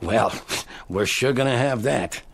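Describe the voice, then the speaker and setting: A man's voice: medium pitch, slow pace, creaky, a bit bright, slightly rough, heavily acted. A man playing a cocky, touchy, greedy crook in a radio drama, with slangy, needling, streetwise talk. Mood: wry, relaxed.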